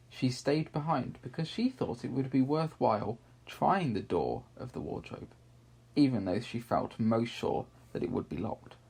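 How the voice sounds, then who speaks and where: A man narrating a children's story aloud in a measured, expressive way with deliberate pauses. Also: mid-range voice, vocal fry, slightly rough.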